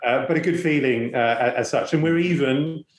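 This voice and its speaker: A man hosting a conversational interview, speaking haltingly with repeated words and frequent "you knows". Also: medium pitch. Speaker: podcast host. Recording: echoey room.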